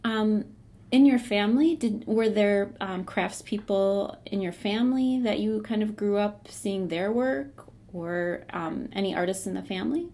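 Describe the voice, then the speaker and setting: A woman interviewing an artist, asking gentle prompting questions. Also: medium pitch, unhurried.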